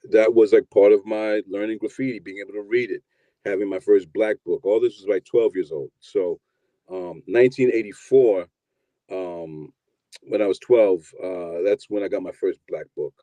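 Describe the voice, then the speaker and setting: A man in his fifties from New York telling his story in a relaxed, rambling interview, often repeating words as he starts. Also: lowish voice, normal speed, dry recording, muffled recording. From New York, USA. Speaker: guest, producer and DJ.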